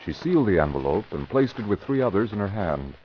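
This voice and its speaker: A man narrating a vintage radio drama in a measured, dramatic manner. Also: on the low side, sing-song, slight background noise. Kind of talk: scripted narration. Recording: muffled vintage radio broadcast.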